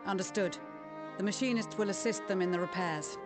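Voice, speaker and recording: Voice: mid-range voice, a little fast, over music, natural voice. A performer voicing an authoritative, formal military commander in a video game, giving orders. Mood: commanding, composed.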